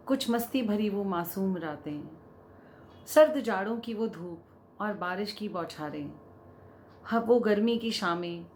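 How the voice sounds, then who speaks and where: A woman poet reciting spoken-word poetry in a deliberate way, repeating lines for emphasis. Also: mid-range voice, a little mellow, a little hiss or hum.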